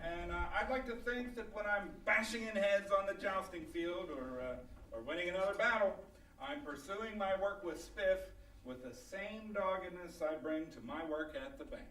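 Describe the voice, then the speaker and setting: A man's voice: high voice, lively intonation, moderate pace, pushed and bright. A man playing a boastful weekend knight and hobbyist in a comedic radio play. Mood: proud. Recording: echoey stage, distant mic.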